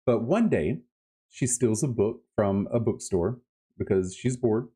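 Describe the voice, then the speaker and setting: A man's voice: on the low side, lively intonation, unhurried, warm tone, husky. A man chatting casually and emphatically about books as a podcast host, with enthusiastic, colloquial delivery. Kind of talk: casual book-review chat.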